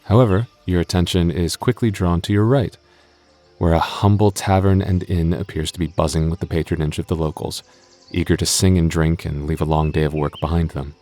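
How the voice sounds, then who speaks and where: A low-pitched, exasperated young dungeon master played by a man, the tsundere childhood friend of an audio roleplay. He sounds put-upon and hesitant, speaking in a distinctly deep register. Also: steady tone, brisk, darkish tone, soft background music, close to an everyday voice. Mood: measured narration. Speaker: game master. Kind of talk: ASMR audio roleplay acting.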